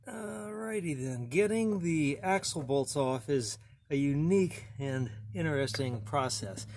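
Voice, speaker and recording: A man's voice: fairly high pitch, darkish tone. A man narrating his own car repair in a casual how-to style, plain-spoken and wry, with many fillers and restarts. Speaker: home mechanic showing a car repair.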